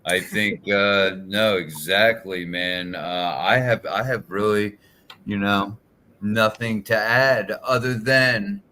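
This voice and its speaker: A man's voice: on the low side, steady tone, sharp tone, slight background noise. A man in his fifties hosting a podcast interview, talking casually with hesitant fillers. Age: 50s. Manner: slow, hesitant, many fillers. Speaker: podcast host.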